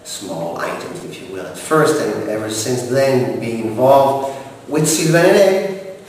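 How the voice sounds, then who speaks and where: A man's voice: medium pitch, expressive, darkish tone, slight background noise. Man from montreal, french native speaker, formal and hesitant, far from the mic, very echoey room with long reverb. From Montreal, Canada. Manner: formal, measured, frequent hesitations. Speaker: director of operations. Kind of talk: interview answers.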